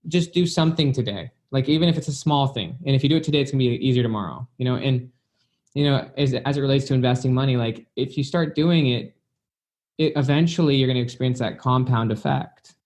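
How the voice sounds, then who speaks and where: A man's voice: mid-range voice, fast, a little mellow. Man giving an earnest motivational talk. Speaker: guest speaker.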